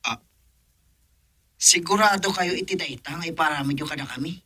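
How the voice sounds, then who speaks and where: Guy doing a nervous henchman, kinda high voice, radio play. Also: moderate pace, pushed and bright, husky. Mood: wary. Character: wary male henchman taking orders. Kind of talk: radio drama acting.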